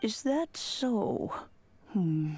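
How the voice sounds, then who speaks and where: A woman playing a wise, gentle old granny in a fantasy game dub, speaking in an unhurried, hesitant way with a creaky, aged voice. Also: medium pitch, very animated, full character voice. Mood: patient, musing. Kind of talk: English game dub acting. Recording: background music under the voice.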